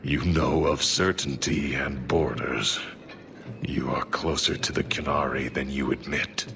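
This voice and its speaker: A man playing a solemn, devout horned warrior mage in a video game, speaking in terse, halting, stoic statements. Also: very deep voice, steady tone, vocal fry, background noise, exaggerated voice. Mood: solemn, firm. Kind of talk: video game cutscene acting.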